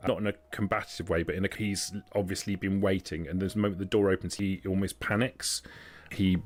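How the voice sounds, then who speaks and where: A man working as game master, improvising narration for a tabletop game in a deliberate, explanatory way. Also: low voice, level tone.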